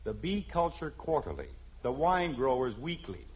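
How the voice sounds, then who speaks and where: A man's voice: mid-range voice, big pitch swings, moderate pace, natural voice. An American actor playing an exasperated, sarcastic suburban father and husband in a 1950s radio sitcom, dry and incredulous. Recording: dull, slightly noisy old radio recording.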